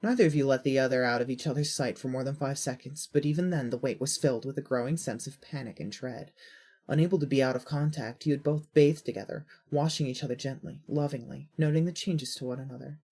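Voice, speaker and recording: A woman with a husky voice reading scripted fiction as a narrator. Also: rumbling low, quick pace, warm tone.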